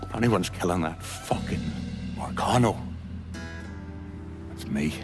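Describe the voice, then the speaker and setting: Deep-voiced Irish bootlegger boss, profane, sardonic and out for revenge; game cinematic with music underneath. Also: male voice, lively intonation, slow pace. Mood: furious, bitter. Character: bitter, foul-mouthed, vengeful Irish bootlegger crime boss. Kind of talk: video game cutscene acting.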